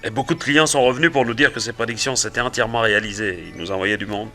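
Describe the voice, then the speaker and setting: An actor playing an excitable, grieving fairground barker in a French radio detective serial, theatrical and halting. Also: male voice, lowish voice, faint music behind. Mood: sorrowful. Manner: theatrical, halting and exclamatory when upset.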